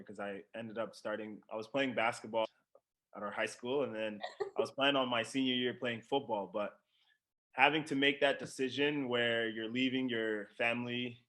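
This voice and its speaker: A man's voice: medium pitch, fairly bright tone. A young man from the Democratic Republic of Congo speaking casually as an interviewed professional athlete. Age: young adult. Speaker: professional soccer player being interviewed.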